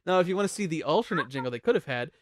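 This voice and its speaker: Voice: medium pitch, animated, very fast, no room sound, clean studio sound. A host chatting casually while ranking songs on a panel show, jokey, profane and talkative.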